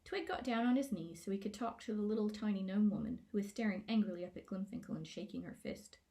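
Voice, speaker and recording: A woman narrating a scripted children's fantasy story in a playful, expressive way, as an author reading aloud. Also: mid-range voice, fast. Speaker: author reading own book.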